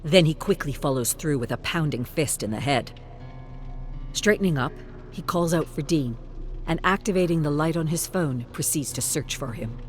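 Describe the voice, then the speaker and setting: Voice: mid-range voice, normal speed. Dramatic story narrator over music. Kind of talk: dramatic scripted audiobook-style narration.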